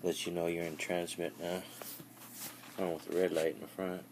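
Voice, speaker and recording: A man giving a casual hands-on equipment demonstration, instructing in a halting way over faint background noise. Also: low-pitched, level tone. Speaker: demonstrator of a CB radio.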